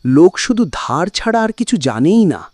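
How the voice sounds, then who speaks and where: Man playing a wildly animated cheeky villager. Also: high voice, brisk, no room sound, studio quality. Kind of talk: cartoon voice acting.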